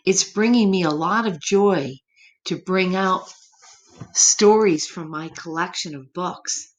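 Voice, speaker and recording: A woman reading a story aloud to young children, gentle and expressive, pausing to chat and ask them questions. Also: lowish voice, rough recording. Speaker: children's story reader, teacher. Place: room at home.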